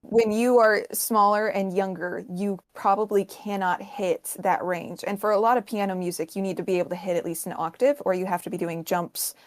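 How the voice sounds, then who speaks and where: A woman's voice: mid-range voice, fairly even intonation, normal speed, hardly any pauses. A woman talking as a podcast panelist, explaining music informally in a precise, step-by-step way.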